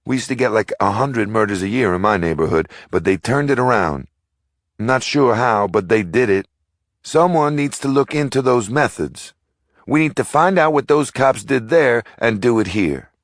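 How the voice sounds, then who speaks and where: A man's voice: medium pitch, animated, moderate pace, no room sound, studio quality, pitched above the performer's own voice, lightly acted. A man reading aloud, voicing a young woman who speaks up earnestly at a town meeting. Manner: earnest, pleading.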